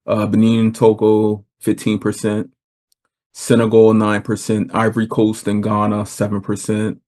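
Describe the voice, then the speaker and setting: A middle-aged man hosting a podcast, speaking in a measured, unhurried way. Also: on the low side, completely flat delivery, dark tone. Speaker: podcast host. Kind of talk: casual conversation.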